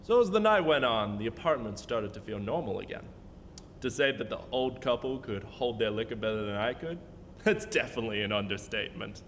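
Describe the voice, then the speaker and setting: A man's voice: lowish voice, expressive, brisk, long reverb tail, slight background noise. A man playing a somber young landlord. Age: young adult. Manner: subdued, reflective narration. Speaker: narrator. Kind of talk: narration and dialogue.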